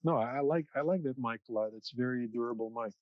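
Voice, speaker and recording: A man who grew up in the US after moving from the Soviet Union explains sound and mics as a podcast guest. He talks in a middle pitch, halting and restarting often as he works out his points. The recording is dry and dull. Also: dry recording. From Soviet Union, raised in the US. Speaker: music producer guest. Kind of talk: technical explanation in conversation. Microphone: dynamic studio mic up close.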